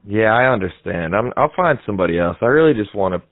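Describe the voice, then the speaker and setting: A young man making a deadpan prank call in a casual, halting way, heard through muffled, narrow audio. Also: fairly low pitch, dry recording. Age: young adult. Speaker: prank caller, comedy show host. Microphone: headset microphone.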